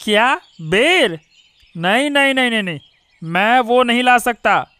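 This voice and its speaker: A man acts a grumpy, dismissive village father from a cartoon moral tale. His voice is strikingly high and clean, recorded dry and close. He brushes people off brusquely. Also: lively intonation, unhurried, sharp tone, clean tone, no echo, heavily acted. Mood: irritated, dismissive. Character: irritable, poor village father. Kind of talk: Hindi moral-story cartoon dubbing.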